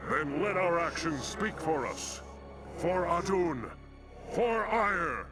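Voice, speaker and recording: An actor voicing a solemn, noble alien warrior commander in a video game, speaking in a grave, formal, declamatory way. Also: male voice, on the high side, expressive, slow pace, over music. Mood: resolute. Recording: heavy battle noise over the voice.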